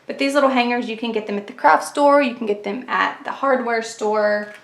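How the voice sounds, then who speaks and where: A woman's voice: a little high, steady tone, a little fast, vocal fry, slight background noise. A woman hosting a craft tutorial, chatty and step by step, fighting a cold and losing her voice. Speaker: craft channel host. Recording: echoey room, faint kitchen clatter.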